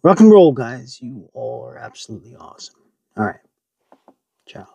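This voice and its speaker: A middle-aged man giving a casual, measured, explanatory update to camera as a channel host, in an informal style. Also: mid-range voice, sing-song, dark tone, slightly rough, no room sound. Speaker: ham radio channel host. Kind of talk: casual update to camera, answering viewer questions.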